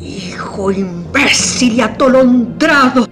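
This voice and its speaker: A woman's voice: on the high side, lively intonation, slow, loud music behind the voice. A woman playing a proud, passionate old medieval queen in a radio drama, with an Argentine accent and theatrical, emphatic delivery. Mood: furious. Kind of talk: melodramatic radio drama acting.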